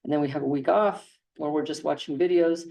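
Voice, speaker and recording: A woman teacher talks to her class in a loose, conversational way, circling back on her sentences. Her voice sits low and mellow. She is picked up from across an echoey classroom. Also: fairly even intonation, fairly quick, vocal fry, recorded from a distance. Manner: conversational, with frequent restarts and "okay". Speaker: engineering instructor. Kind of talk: classroom lecture.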